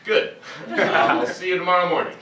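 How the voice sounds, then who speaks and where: A man's voice: high-pitched, animated, normal speed. A man voicing a chipper, eager theme-park robot in a staged reading of a cartoon. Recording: echoey room, far from mic, faint noise.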